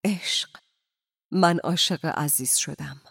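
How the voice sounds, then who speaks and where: A woman voicing a middle-aged wife in a novel, soft, measured and quietly resolute. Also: deep voice, vocal fry, slightly rough, dry recording, studio quality, exaggerated voice. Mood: calm.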